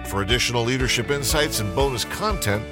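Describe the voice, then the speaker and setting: A man's voice: mid-range voice, quick pace. A man reading a polished, scripted show introduction. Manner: polished promotional delivery. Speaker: show announcer. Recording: voice over music bed.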